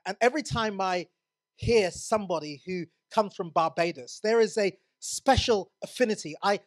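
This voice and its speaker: A man from England preaching a sermon in a church, earnest, often restarting his words. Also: high voice.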